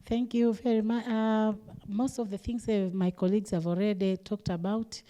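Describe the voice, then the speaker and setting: A woman's voice: mid-range voice, little pitch movement, moderate pace, mellow. A woman from Tanzania speaking as a conference panelist, hesitant and often repeating words. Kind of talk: panel discussion remarks.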